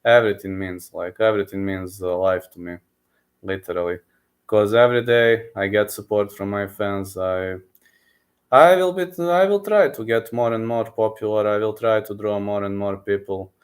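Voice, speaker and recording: A man's voice: lowish voice, normal speed. A man from Serbia with a Balkan accent, hosting a casual live stream and eagerly thanking viewers, repeating himself and calling them man and bro. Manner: enthusiastic, repetitive, keeps calling listeners man and bro.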